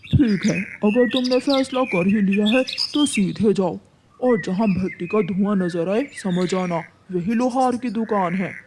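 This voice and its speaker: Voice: medium pitch, a little slow, background noise, natural voice. A performer voicing a village farmer man who advises plainly in a children's cartoon. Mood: advising.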